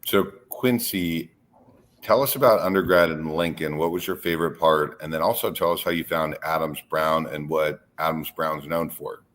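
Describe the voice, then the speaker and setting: A man's voice: a bass voice, steady tone, normal speed, a bit bright. A man co-hosting a podcast, asking guests easygoing, joking questions. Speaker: podcast co-host. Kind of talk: casual chat.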